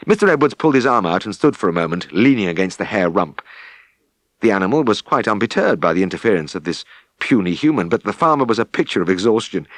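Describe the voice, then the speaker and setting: A British man narrates a memoir in a low voice, recorded close and dry. His delivery is measured and expressive, like a practised audiobook reader. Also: no room sound.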